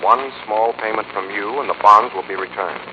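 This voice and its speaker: Actor as deep, menacing avenger of old radio. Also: male voice, steady tone, normal speed, lightly acted. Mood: commanding, ominous. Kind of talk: 1940s radio mystery acting. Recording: muffled, noisy 1940s radio recording.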